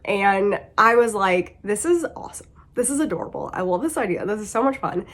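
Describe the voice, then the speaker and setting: A woman in her thirties with a sick, congested voice, chatting about her monthly reading at home, laughing and breaking off mid-sentence. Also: mid-range voice. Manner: chatty, laughing, self-interrupting. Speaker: book vlogger. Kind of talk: casual reading wrap-up chat.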